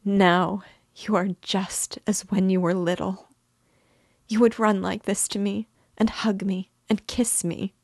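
A woman's voice: mid-range voice, little pitch movement, takes it slowly, darkish tone, no room sound, pitched above the performer's own voice. Anxious tearful mother with a raised, pleading voice, in close dry audiobook sound; a woman reader puts her on. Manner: pleading, emotional, breaking into sobs. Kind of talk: audiobook character reading.

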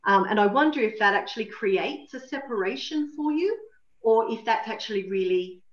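A woman host leads a session with slow, reflective questions and leans on certain words for emphasis. Her voice carries a roomy echo, and the call audio sounds muffled. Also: a little high, expressive. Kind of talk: coaching talk. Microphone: video call. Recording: echoey, dull room sound.

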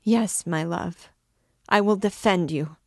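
A woman's voice: fairly low pitch, takes it slowly, gravelly, no room sound, clean studio sound, full character voice. A woman voicing a desperate mother who pleads for her daughter's life in a dramatic, emphatic storybook reading. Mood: anguished tenderness. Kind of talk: dramatic audiobook character reading.

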